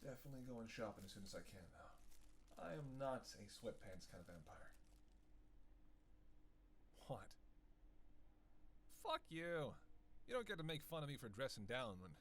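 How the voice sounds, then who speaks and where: A man's voice: mid-range voice, a little hiss or hum. A man playing a sardonic, flirtatious male vampire in a roleplay audio drama, with a teasing, playful and intimate manner. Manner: teasing, foul-mouthed, playful, intimate delivery with sighs. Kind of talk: scripted roleplay audio drama addressed to listener.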